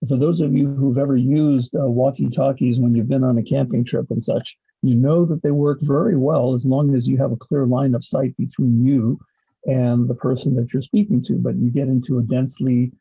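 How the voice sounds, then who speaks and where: A man presents informally, like an instructor explaining slides. His voice is husky with a noticeable creak, and he pauses often mid-sentence as he explains. The recording is somewhat dull and lo-fi. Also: mid-range voice, fairly even intonation. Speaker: college instructor and radio club advisor giving a presentation.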